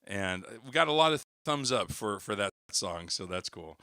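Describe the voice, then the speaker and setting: A man with a hoarse, recovering voice, chatting casually to viewers on his livestream with plenty of fillers and restarts. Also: fairly low pitch, expressive, moderate pace, pushed and bright, dry recording, clean studio sound. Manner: rambling, many fillers and restarts. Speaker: worship singer hosting a livestream.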